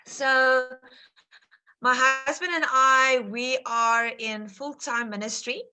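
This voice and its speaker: A woman's voice: high-pitched, fairly even intonation, takes it slowly, bright, forceful tone, clean tone, poor audio. A woman in full-time ministry introducing herself on an online panel, listing her work in an orderly way over a video call.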